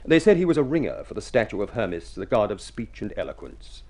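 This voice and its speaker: An actor playing an ugly, eloquent, self-mocking adventurer in an urbane, florid radio drama style. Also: male voice, lowish voice, big pitch swings, a little fast, darkish tone. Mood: wry, boastful. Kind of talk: mid-century radio drama acting. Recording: vintage radio drama recording.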